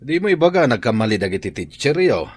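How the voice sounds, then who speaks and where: A man's voice: medium pitch, sing-song, fast talker, husky, dry recording. A man playing a reasonable, questioning husband in a Filipino radio drama. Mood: questioning, exasperated.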